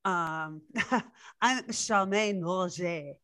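A woman's voice: on the low side, extremely slow, fairly bright tone. A woman chatting casually as a member of a radio play cast. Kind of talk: casual chat.

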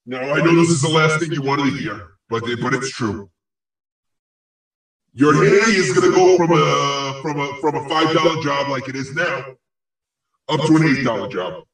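A man performs a crude male ghost who revels in spite. The voice sits a little high and comes from far off, swimming in heavy echo. He delivers insults and prophecies pompously, with halting pauses, as in a comic audio play. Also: fairly bright tone. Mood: grandly prophetic. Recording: very echoey, distant-sounding voice.

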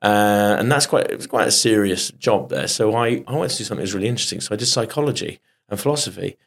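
A middle-aged man, a stage performer, telling animated stories in a casual interview, often restarting his sentences. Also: lowish voice, creaky. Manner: animated anecdotes with quick restarts. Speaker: guest performer.

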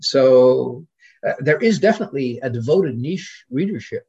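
A man speaking as a guest scholar in an informal academic discussion, in a hesitant, digressive manner with a husky voice. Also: mid-range voice, lively intonation, normal speed, darkish tone, highs cut off.

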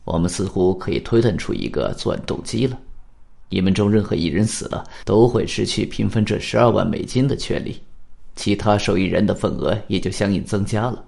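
A man playing a calm, shrewd detective in a radio drama, speaking formally in a measured, deliberate way. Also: lowish voice, vocal fry. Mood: measured, probing. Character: calm, shrewd male detective, probing and cautioning.

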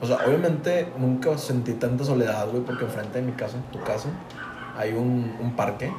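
A man's voice: medium pitch, moderate pace. Slangy young Mexican man, echoey room. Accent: Mexican Spanish, from Tampico. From Tampico, Mexico. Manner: slangy, profane, says "güey" constantly. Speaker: podcast co-host. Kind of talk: casual podcast chat. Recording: echoey room with clear background noise.

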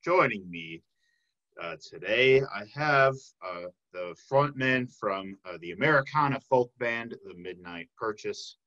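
Bright-voiced man hosting, lo-fi sound. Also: fairly low pitch, lively intonation, unhurried. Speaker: podcast host. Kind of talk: casual interview chat.

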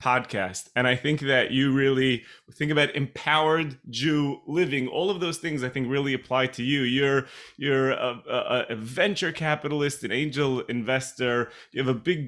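A man hosting a podcast interview. He asks his questions with eager energy and stumbles over a word now and then. His voice sits at a plain middle pitch. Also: fairly bright tone. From Brooklyn. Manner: enthusiastic, halting questions. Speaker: podcast host. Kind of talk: interviewing a guest.

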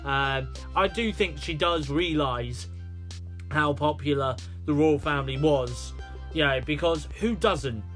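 A man's voice: fairly high pitch, measured pace, fairly bright tone, soft background music. A British man hosting a solo podcast, rambling with many fillers and restarts. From United Kingdom. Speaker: podcast host.

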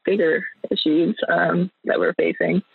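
Woman on a thin phone-like line, creaky voice. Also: lowish voice, steady tone, measured pace, dry recording. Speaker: guest, small business owner. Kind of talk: casual interview conversation.

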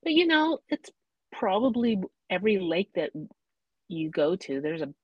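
A woman's voice: medium pitch, sing-song, normal speed, husky, no room sound, lo-fi sound. A woman co-hosting a podcast in casual chat, hesitant, with many ums and trailing sentences. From Canada. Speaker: podcast co-host.

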